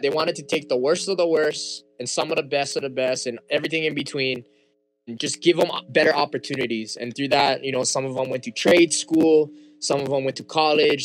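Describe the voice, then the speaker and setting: A young man from Hawaii with a Hawaiian accent, whose first language is Hawaiian, talking as a guest in a casual interview with relaxed fillers and restarts. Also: mid-range voice, rough recording.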